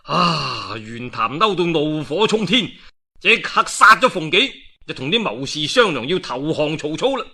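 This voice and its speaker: Animated bright-voiced Cantonese male storyteller. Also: on the high side, unhurried, slightly rough. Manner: colloquial, dramatic delivery with interjections. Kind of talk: animated oral storytelling of a historical novel.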